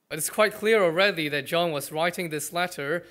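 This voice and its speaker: A male pastor with a Malaysian accent delivers a sermon in short, earnest phrases, pausing after each one. His voice sits a little high and sounds bright and forward. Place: church.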